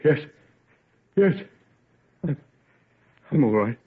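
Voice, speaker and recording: An actor playing an ailing, obsessed scientist son in an old-time radio drama, secretive yet grandiose, speaking in halting, broken phrases. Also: male voice, medium pitch, animated, slightly rough, full character voice. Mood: weak, shaken, evasive. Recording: tinny, band-limited old radio recording.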